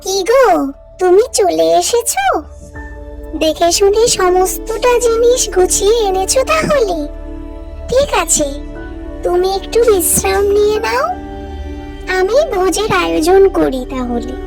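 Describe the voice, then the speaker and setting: A woman's voice: extremely high pitch, expressive, measured pace, creaky, long pauses, loud music behind the voice, voice pushed up, exaggerated voice. A woman voicing a caring, busy village housewife in a children's cartoon, with a thin, girlish voice. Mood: caring, brisk. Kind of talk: children's cartoon story acting.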